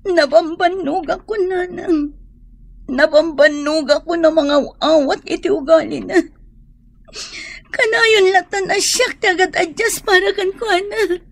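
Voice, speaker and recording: A woman's voice: extremely high pitch, measured pace, vocal fry, full character voice. A woman with an Ilocano accent playing a lovesick, heartbroken young mother, plaintive and emotional, in a radio drama. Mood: anguished.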